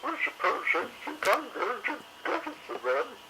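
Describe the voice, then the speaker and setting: A man voicing a fussy, grumbling female dinosaur in a high falsetto. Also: big pitch swings, normal speed. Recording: noisy recording.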